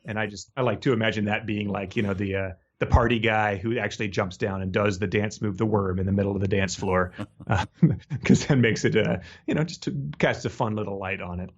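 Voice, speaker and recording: A man's voice: lowish voice, fairly even intonation, a little fast, highs cut off. A man co-hosting a podcast in casual, wry discussion of a book, often rephrasing mid-sentence. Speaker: podcast co-host.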